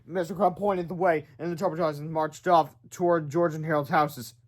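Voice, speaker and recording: A man's voice: a little high, steady tone, normal speed. A man reading a children's book aloud dramatically, stumbling over the odd word and adding asides. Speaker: read-aloud narrator.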